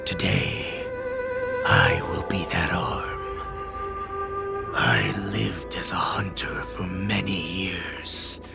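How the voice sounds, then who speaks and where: A man's voice: low-pitched, expressive, extremely slow, exaggerated voice. A man voicing a savage, defiant wolfish beast warrior in a video game, with a growling, menacing and dramatic delivery. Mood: solemn reverence. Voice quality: gravelly growl. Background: game music.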